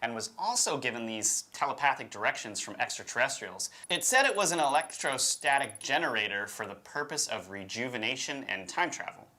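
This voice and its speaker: A man's voice: mid-range voice, very animated, fairly quick, hardly any pauses, sharp tone. A man co-hosting a show, mixing scripted narration with informal, incredulous asides. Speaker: show host. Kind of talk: conversational co-hosted scripted narration with casual asides.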